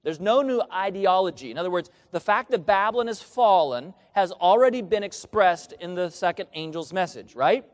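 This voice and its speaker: A man's voice: high voice, expressive, moderate pace, hardly any pauses. A man preaching a sermon, reading scripture aloud and asking the congregation questions they answer back. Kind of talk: sermon with call and response. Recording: long reverb of a large hall.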